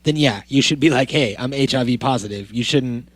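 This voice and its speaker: A man's voice: medium pitch, fairly quick, dry recording. A man co-hosting a podcast, chatting casually in a rambling, offhand way. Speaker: podcast co-host. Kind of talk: casual chat.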